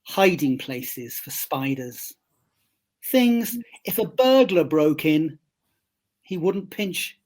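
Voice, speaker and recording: Poetry reader, mid-pitched voice, very unhurried, deliberate wry phrasing. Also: animated, a little mellow. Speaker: poet reading own poem. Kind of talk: slow poetry reading.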